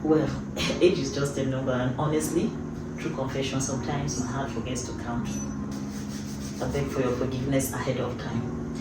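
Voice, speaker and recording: Fifties lady vlogging, earnest and emphatic, mic far off, loud music behind her. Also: very deep voice, flat delivery, moderate pace. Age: early 50s. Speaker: YouTube host. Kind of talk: personal vlog talk to camera. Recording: echoey room, distant mic, loud music.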